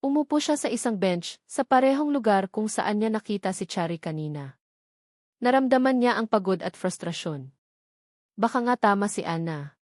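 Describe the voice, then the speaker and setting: A woman's voice: mid-range voice, animated, normal speed, darkish tone, no echo, clean studio sound. A woman reading a scripted romance story as a dramatic narrator.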